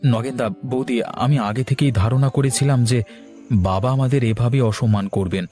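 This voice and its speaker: An actor playing an earnest, respectful young newlywed son in a cartoon, pleading and hurt. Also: male voice, medium pitch, steady tone, normal speed, warm tone, soft background music, lightly acted. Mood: dejected. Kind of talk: Bengali cartoon voice acting.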